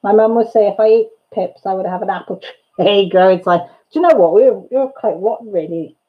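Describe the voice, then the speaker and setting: A woman's voice: medium pitch, a little fast, muffled recording. A middle-aged woman with a Welsh accent, hosting a casual chat and reading viewer comments aloud with giggles and playful repetition. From Wales. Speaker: channel host.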